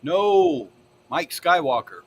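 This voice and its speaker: A man's voice: a little high, takes it slowly, breathy edge, dry recording, soft background music. A man bantering casually and informally as a panelist in an online group chat. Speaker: panelist on an online fan discussion. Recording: faint background noise.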